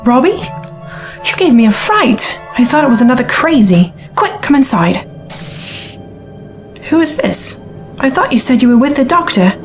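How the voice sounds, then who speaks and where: An actress playing a wary young news reporter in an audio drama, brisk and incredulous with formal phrasing. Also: female voice, sits high, sing-song, faint music behind. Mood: startled.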